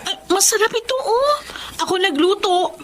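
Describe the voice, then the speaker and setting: A Filipino actress playing a resentful, sharp-tongued eldest daughter in a radio drama, speaking in an accusing, exasperated way. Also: female voice, extremely high pitch, lively intonation, moderate pace, background noise. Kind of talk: Tagalog radio drama acting. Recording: studio drama with music underneath.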